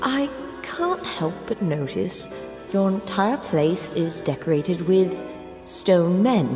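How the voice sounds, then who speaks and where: A woman plays a catty, flattering female adventurer in a tabletop game. Her speech is slow and exaggerated, swinging widely in pitch. The hall echoes and music plays underneath. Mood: curious, flattering. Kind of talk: improvised tabletop roleplay.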